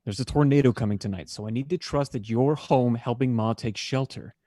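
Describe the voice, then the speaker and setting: Man acting a mellow, earnest older brother. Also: medium pitch, brisk, dry recording, clean studio sound, close to an everyday voice. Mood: pleading, firm. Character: responsible, weary older brother, a stage actor. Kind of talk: naturalistic audio drama acting.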